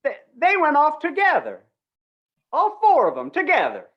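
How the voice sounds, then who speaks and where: A woman's voice: high voice, huge pitch swings, normal speed, muffled recording. A woman playing a folksy, exclaiming country fair official in a radio western. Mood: astonished, amused. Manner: folksy rural phrasing, exclaiming. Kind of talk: old-time radio western acting.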